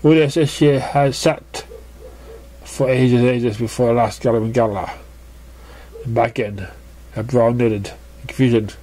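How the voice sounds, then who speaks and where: Slow-paced story narrator with a measured delivery. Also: medium pitch, rough recording. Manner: expressive, acts out character dialogue. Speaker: audiobook-style story reader. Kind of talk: scripted narration, reading a fan fiction story aloud.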